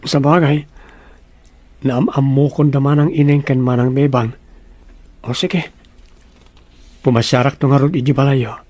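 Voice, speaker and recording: A man's voice: mid-range voice, fairly even intonation, measured pace, pauses often, a little mellow, no room sound, lightly acted. A man acting a humble, bashful young farmer in love, in a radio-style drama. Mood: shy, respectful.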